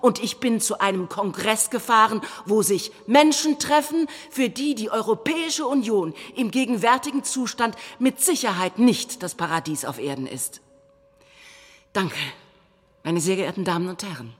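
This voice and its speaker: A woman's voice: medium pitch, animated, moderate pace, breathy edge. A woman playing a populist politician in a declamatory congress speech on stage. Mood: emphatic, self-assured. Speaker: stage performer. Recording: echoey hall with long reverb.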